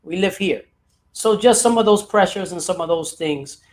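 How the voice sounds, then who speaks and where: Podcast host, mid pitch, conversational, affirming, asks "right?" often. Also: moderate pace. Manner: warmly affirming, frequent "right?" tags. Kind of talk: conversational interview.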